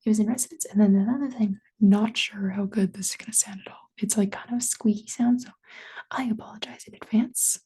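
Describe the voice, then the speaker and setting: Whispering young Canadian woman, very breathy. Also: medium pitch, steady tone, fairly quick, dark tone. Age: young adult. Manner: casual, rambling, apologetic. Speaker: ASMR video creator. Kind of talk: ASMR.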